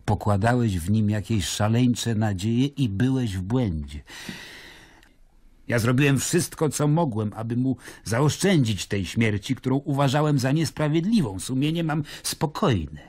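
An actor playing a stern, sceptical Roman governor in an audio drama, measured and ironic as he questions and narrates. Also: mid-range voice, lively intonation, darkish tone, no echo. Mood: stern, probing. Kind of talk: literary audio drama acting.

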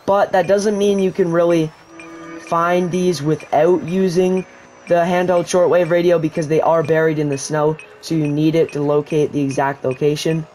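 A man's voice: fairly high pitch, normal speed, a little hiss or hum, poor audio. Man explaining a survival game. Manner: relaxed, rambling explanation with restarts. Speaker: gaming YouTuber. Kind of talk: casual game tutorial voice-over.

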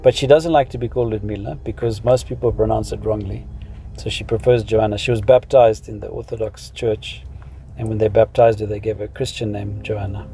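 A man's voice: on the low side, fairly even intonation, fairly quick, creaky, warm tone, low-quality recording. A man in his fifties with a Zimbabwean accent, telling personal stories in a casual, explanatory way as a vlogger. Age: 50s. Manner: reminiscing, explanatory. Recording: music behind the voice.

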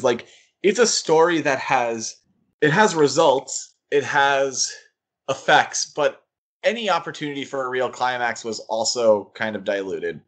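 Man, mid-pitched voice, podcast co-host, casual discussion, opinionated, critical. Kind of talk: casual comic book discussion.

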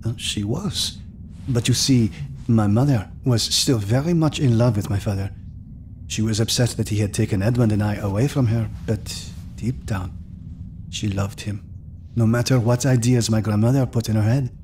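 Man acting low-voiced, reflective, guarded grown son. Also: normal speed, darkish tone, slight background noise, lightly acted. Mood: wistful. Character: grown son, reserved man loyally recalling his parents. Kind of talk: audio drama acting.

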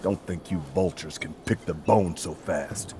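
An actor playing an injured male colonist who is shaken and distrustful, speaking haltingly. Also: sits low, mellow, slight background noise. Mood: defiant. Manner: halting, then defiant.

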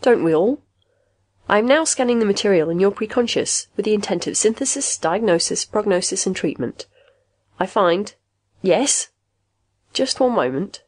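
A woman's voice: mid-range voice, animated, normal speed, lightly acted. A woman voicing a soothing, pedantic therapy machine in an audiobook story, speaking in formal clinical terms.

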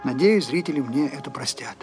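A man, the film's director, narrating his documentary in a measured, personal first-person voice-over. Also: mid-range voice, lively intonation, a little mellow, faint music behind.

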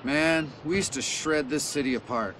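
A man's voice: a little high, normal speed, fairly bright tone, slight background noise, close to an everyday voice. A man playing a laid-back, nostalgic veteran skateboarder in a video game, casual and slangy. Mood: amused, nostalgic. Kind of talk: video game cutscene acting.

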